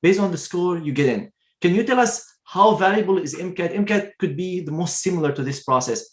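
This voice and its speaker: A man's voice: high voice, expressive, a little fast, reverberant. A man hosting a video interview, enthusiastically praising his guest and asking her questions. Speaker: host.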